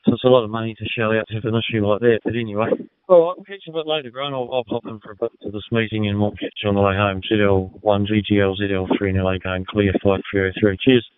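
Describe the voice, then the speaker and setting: A man's voice: on the low side, quick pace, clean tone, no room sound. A man talking casually and a little hesitantly about technical things as an amateur radio operator, over a two-way radio. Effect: two-way digital radio. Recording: tinny narrowband digital radio transmission.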